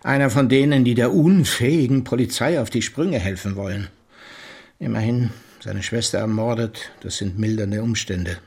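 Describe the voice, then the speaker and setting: An actor playing a probing police detective in a radio drama, with a dry, ironic and deliberate manner. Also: male voice, medium pitch, a little mellow, husky. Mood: wry, musing. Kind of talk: German radio play acting.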